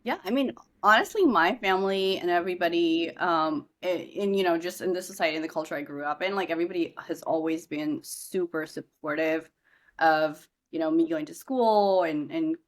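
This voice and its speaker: A young woman from India, guest on a podcast, speaks with a notably low, deep voice. She talks in a loose, chatty way, full of "um"s and false starts. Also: normal speed. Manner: chatty, many fillers and restarts. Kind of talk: casual podcast interview.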